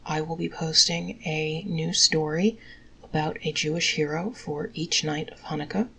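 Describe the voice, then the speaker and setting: A woman storyteller narrates a folktale aloud with expression. The voice is low and husky, with a gravelly creak. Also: steady tone, unhurried, bright, forceful tone, low-quality recording. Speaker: storyteller, host.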